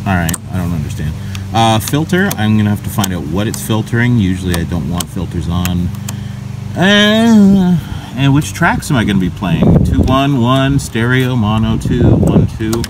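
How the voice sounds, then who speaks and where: A man's voice: on the low side, expressive, normal speed. A man giving a casual, wry gear tour, thinking aloud with self-questioning asides as a hobbyist vlogger. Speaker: vlogger and video transfer hobbyist. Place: outdoors in a backyard, at a patio table. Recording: clear outdoor ambient noise.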